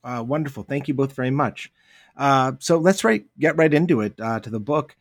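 A man's voice: medium pitch, normal speed, no room sound. Male host interviewer, friendly, dry recording. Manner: friendly and affirming. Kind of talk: conversational interview.

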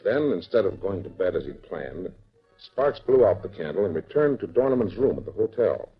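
A man giving steady, dramatic scripted narration for a radio drama on an old recording. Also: sits low, moderate pace, slightly rough, highs cut off, faint music behind.

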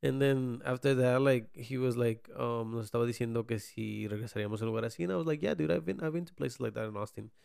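A man chats casually and flips between languages as he retells a story. His voice has a gravelly fry, and the sound is dry and close. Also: mid-range voice, quick pace, hardly any pauses, darkish tone, no echo. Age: late 20s. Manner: code-switching, repeats words, quotes others. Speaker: painter.

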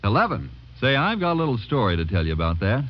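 Animated American radio pitchman. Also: quick pace. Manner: folksy, chatty, salesy. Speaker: announcer and pitchman. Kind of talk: classic radio advertising read. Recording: dull vintage radio recording.

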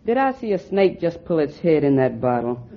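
A man's voice: a little high, sing-song, moderate pace. A snooty know-it-all boy. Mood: haughty. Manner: haughty, big-word vocabulary. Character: snooty precocious boy nephew, smug. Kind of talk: 1940s radio comedy acting. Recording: dull old radio broadcast, noisy.

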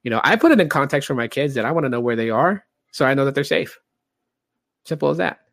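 A man's voice: medium pitch, expressive, fast talker, gravelly, fairly bright tone, dry recording, studio quality. Light-voiced man hosting a casual podcast. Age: late 30s. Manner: rambling, chatty, many fillers. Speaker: podcast host. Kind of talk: casual livestream podcast talk.

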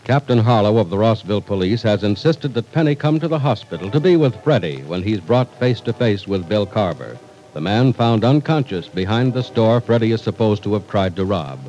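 A man's voice: on the low side, lively intonation, a little fast, warm tone, soft background music, poor audio. A man with an American accent, announcing in a polished, emphatic, scripted 1940s radio style. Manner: polished, emphatic sales delivery.

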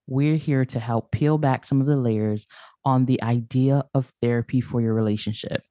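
A woman's voice: rumbling low, mellow, no room sound. A woman hosting a podcast about marriage, reading an intro and then interviewing a guest with enthusiasm. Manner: upbeat, enthusiastic. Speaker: podcast host.

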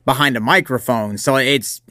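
A man's voice: mid-range voice, normal speed, bright, forceful tone, no room sound, clean studio sound. A man hosting an interview, asking long rambling questions and backing up his guest. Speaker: podcast host. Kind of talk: casual interview.

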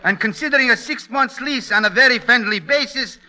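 An actor playing a scheming, wheedling immigrant landlord with slightly broken English in a 1940s radio comedy. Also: male voice, extremely high pitch, a little fast, bright, forceful tone, exaggerated voice. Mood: eager selling. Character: fast-talking, wheedling immigrant male landlord, full of schemes. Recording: old 1940s radio broadcast.

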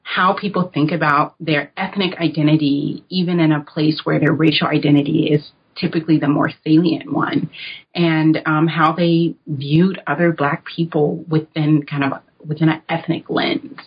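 A woman's voice: deep voice, little pitch movement, normal speed, breathy edge, lo-fi sound. An American woman answering interview questions as an academic guest, in a reflective, informal, explanatory way. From United States (North Carolina). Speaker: guest, author and political science academic.

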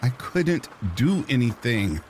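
A man's voice: extremely low pitch, moderate pace, dark tone, a little hiss or hum, rough recording. A man voicing a frightened, protective young mother in a dramatic audiobook, pleading in desperation and anguish. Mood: desperate pleading.

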